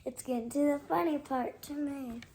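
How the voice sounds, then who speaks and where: A child reading a picture book aloud, halting over the words and adding casual asides. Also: high-pitched, steady tone, mellow. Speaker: storybook reader.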